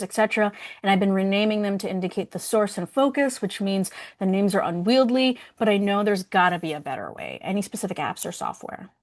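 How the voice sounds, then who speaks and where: Woman, medium pitch, moderator, informal hosting, reads audience questions aloud. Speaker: moderator of an online workshop Q&A.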